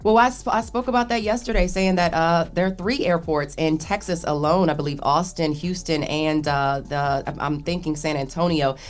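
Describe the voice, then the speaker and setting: An American woman reporting news on a radio morning show, with a halting delivery that stumbles and restarts between read copy and conversational asides. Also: sits low, steady tone, soft background music.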